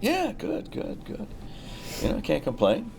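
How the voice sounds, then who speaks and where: Male actor as chatty drama brother-in-law, ingratiating, halting, animated pitch, noisy recording. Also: vocal fry, exaggerated voice. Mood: cheerful. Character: affable middle-aged male brother-in-law feigning friendly concern. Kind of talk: screenplay table read.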